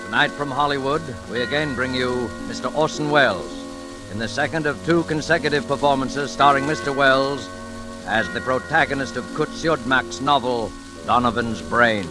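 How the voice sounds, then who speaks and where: A man announcing a suspense series in a formal, measured 1940s radio style, reading a scripted introduction. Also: mid-range voice. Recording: old radio recording with music behind.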